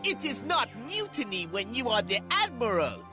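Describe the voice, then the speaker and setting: A man's voice: extremely high pitch, huge pitch swings, normal speed, over music, heavily acted. A man playing an arrogant, treacherous Chinese admiral, a grandiose villain in a video game cutscene, haughty and theatrical. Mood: furious, grandiose.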